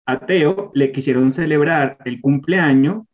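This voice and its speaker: A man's voice: a little high, unhurried. A man, telling a reflective family story in a group discussion over a video call. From Venezuela. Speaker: group participant. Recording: dull lo-fi call audio.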